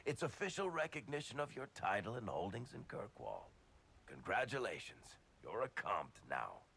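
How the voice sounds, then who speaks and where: A man playing a wry, easygoing dwarf rogue turned city ruler in a video game, gravelly and casually joking. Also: fairly low pitch, big pitch swings, normal speed, fairly bright tone, a little hiss or hum, heavily acted. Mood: casual, generous. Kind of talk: video game cutscene acting.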